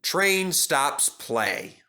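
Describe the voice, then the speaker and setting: A man narrating a scripted children's story in a theatrical, expressive way. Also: on the high side, very slow, smooth.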